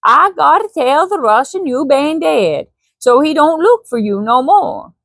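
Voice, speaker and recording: A woman's voice: high voice, very animated, measured pace, gravelly, a bit bright, no echo, studio quality, voice pushed up, heavily acted. A woman putting on a blunt Swedish jungle guide. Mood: grim. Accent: Swedish (put on). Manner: broken English, earnest.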